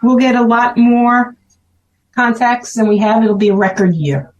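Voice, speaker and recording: A woman's voice: a little high, moderate pace, darkish tone, muffled recording. A woman with a Brooklyn accent, chatting as a guest and often laughing as she explains things. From Brooklyn, New York. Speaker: guest, special event organizer. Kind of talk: casual chat.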